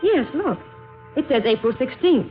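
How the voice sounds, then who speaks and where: A woman's voice: high-pitched, lively intonation, moderate pace, narrow, tinny audio, faint music behind. An actress playing a polite, earnest young woman applying for an office job, in a 1940s radio drama style. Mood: puzzled, insistent. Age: young adult. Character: polite, earnest 22-year-old female office job applicant. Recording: thin, noisy old radio recording.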